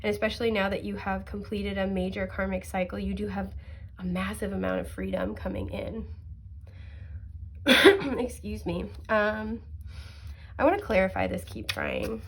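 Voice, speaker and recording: A woman's voice: mid-range voice, expressive, normal speed, a little hiss or hum. Sniffly woman giving a casual tarot reading. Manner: chatty and reassuring, many fillers and soft laughs. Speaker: tarot card reader.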